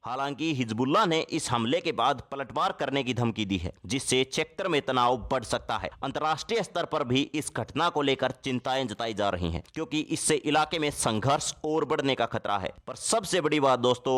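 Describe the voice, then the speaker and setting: A man's voice: medium pitch, lively intonation, brisk, hardly any pauses, a bit bright. A man delivering explanatory news commentary in a dramatic, emphatic way, addressing viewers directly.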